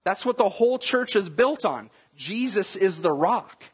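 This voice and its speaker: A man's voice: high voice, expressive, measured pace, breathy edge, big-room sound. A man preaching a sermon in a church in a conversational teaching manner, quizzing the congregation with questions. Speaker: pastor.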